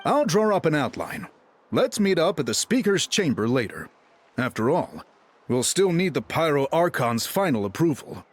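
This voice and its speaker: A composed, explanatory chief in a video game, his voice a little high and husky. His intonation moves widely. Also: big pitch swings, moderate pace.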